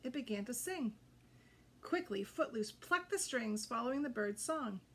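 An older woman reading a children's picture book aloud, expressive and addressing young listeners directly. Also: a little high, moderate pace. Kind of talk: children's read-aloud storytelling. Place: indoors before a green backdrop. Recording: noticeable background noise.

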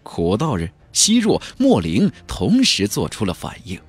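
A man's voice: on the low side, wildly swooping pitch, a little slow, slightly rough, soft background music. A man narrating a fantasy novel as a dramatic audiobook, with a theatrical, emphatic delivery.

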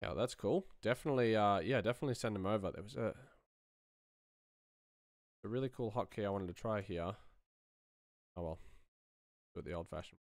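An Australian man mutters casually through his work as he streams. His voice is low with plenty of fry. The sound is close and dry. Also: fairly quick, long pauses, no echo. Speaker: sound designer, live streamer. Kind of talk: casual commentary while working, answering chat. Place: small indoor room. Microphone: close desk microphone.

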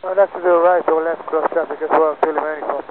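A man's voice: a little high, fairly even intonation, fast talker. A man speaking as a pilot, making brief readbacks over aviation radio. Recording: noisy, tinny cockpit radio transmission.

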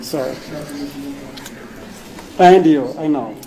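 A man's voice: fairly high pitch, takes it slowly, warm tone, roomy sound. A male teacher calling on students one by one in a classroom, encouraging them and thanking each in turn, filming on a handheld phone. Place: crowded indoor classroom full of students. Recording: noisy crowded classroom.

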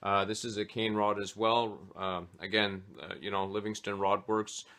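Casting: man in his forties, lowish voice, relaxed, unscripted talk full of "uh" pauses, recorded close on a webcam in a small home office. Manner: hesitant, many "uh" fillers. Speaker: fly fishing vlogger. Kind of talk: casual gear talk to camera.

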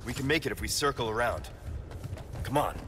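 An actor playing a stoic, sardonic young action hero in a video game, with a terse, deadpan delivery. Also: male voice, medium pitch, fairly quick, background noise, lightly acted. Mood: curt.

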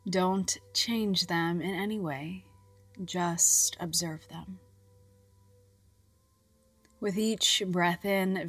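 A woman reading a scripted guided relaxation in a soft, soothing, measured voice with long pauses and breathy phrase endings. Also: fairly low pitch, no echo. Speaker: guided meditation narrator. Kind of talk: scripted hypnobirthing relaxation guidance. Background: music.

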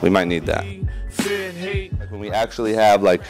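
A young man giving a casual tour of a large indoor space, talking in a relaxed, matter-of-fact way into a handheld mic. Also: on the low side, measured pace, faint music behind. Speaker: tour guide, music producer. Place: large indoor warehouse office.

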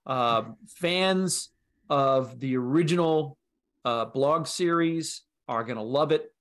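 Middle-aged guy on a video call, regular pitch, chatting casually about creative work. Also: slow, fairly bright tone. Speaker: guest, audio drama creator. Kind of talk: casual interview chat. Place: indoor room on a video call.

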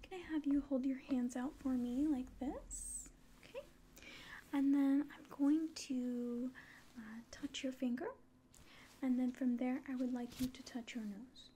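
A woman in her twenties whispering softly and breathily in a gentle medical roleplay, giving slow instructions up close. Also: high-pitched, fairly even intonation, slight background noise. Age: 20s. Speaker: ASMR performer playing an alien doctor giving an exam. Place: small room indoors.

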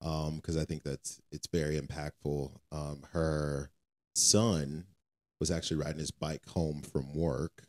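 A man's voice: a bass voice, little pitch movement, measured pace, no echo, clean studio sound. A man co-hosting a faith podcast, talking casually and repeating phrases for emphasis. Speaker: podcast co-host.

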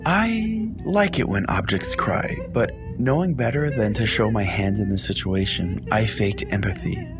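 A man's voice: fairly low pitch, wildly swooping pitch, a little slow. A man hosting a comedy podcast, telling it in a theatrical, mock-solemn way. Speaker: podcast host. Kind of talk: comic dramatic storytelling and show chat. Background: music.

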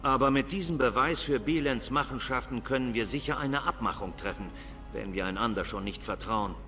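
A man voicing a guarded old dwarf lord in a video game, formal, measured and stern. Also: medium pitch, pushed and bright, soft background music, natural voice. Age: older.

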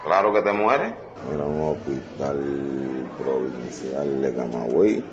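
A man's voice: extremely low pitch, animated, slow, highs cut off, noisy background. A man mocking the emptiness of a hospital ward while filming it. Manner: scornful, mocking. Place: empty hospital ward indoors.

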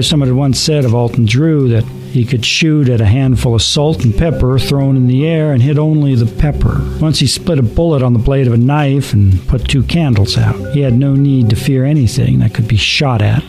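Man, mid-pitched, dramatic radio horror narrator, deliberate delivery, loud music underneath. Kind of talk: scripted horror story narration for a radio play.

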